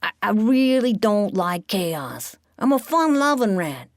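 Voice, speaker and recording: A woman voicing Joey, a showy, fun-loving young male pack rat who calls out in a loud, sing-song showman style. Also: medium pitch, big pitch swings, measured pace, no room sound, clean studio sound, voice pushed up. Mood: excited.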